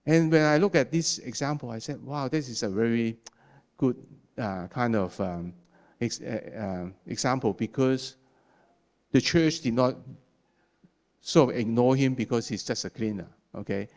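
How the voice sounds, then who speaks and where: A man preaching to his congregation in English, his second language. He speaks haltingly, restarting words and leaning on fillers, and he often checks in with a quick "okay?" at the end of a point. His voice sits at an ordinary pitch for a man. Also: darkish tone. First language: not English. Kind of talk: sermon.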